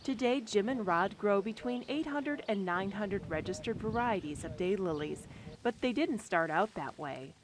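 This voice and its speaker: A woman reading scripted documentary narration in a lively, expressive way, recorded as a studio voice-over. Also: medium pitch, normal speed, a little hiss or hum. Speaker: program narrator. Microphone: studio voice-over mic.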